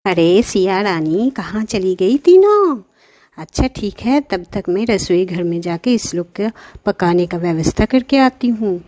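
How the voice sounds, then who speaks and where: Children's cartoon villain: woman as a greedy, gleeful witch, slow and gloating, dry recording. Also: animated, warm tone, no echo, close to an everyday voice. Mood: scheming. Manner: gloating, with high-pitched cackles. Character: gleeful greedy witch eager to cook her captives.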